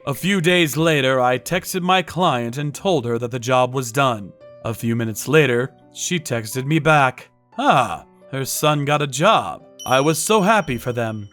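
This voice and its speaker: Slow, animated man narrating a dark story. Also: medium pitch, soft background music. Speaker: narrator and lead voice in a manga dub. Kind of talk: dramatic scripted first-person story narration.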